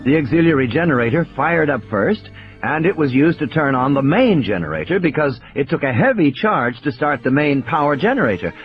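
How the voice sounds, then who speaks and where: A man's voice: a little high, very animated, a little fast, muffled recording, faint music behind. A man reading a scripted, dramatic audiobook narration as a storyteller.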